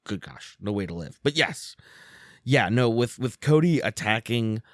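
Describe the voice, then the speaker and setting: A man co-hosting a casual wrestling podcast, a little hoarse and tired, talking with animated enthusiasm. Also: lowish voice, a little slow, no echo, clean studio sound. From Philadelphia area. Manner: animated, reads out quotes with big swings. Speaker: podcast co-host. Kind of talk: casual chat.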